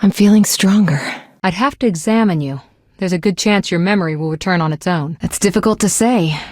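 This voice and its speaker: A proud young sorceress from a fantasy video game, acted by a woman, with a fairly low female voice. She is confident and articulate, able to command or to show tenderness, and is recorded close and dry. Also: brisk, darkish tone, no echo, lightly acted. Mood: gentle, wistful.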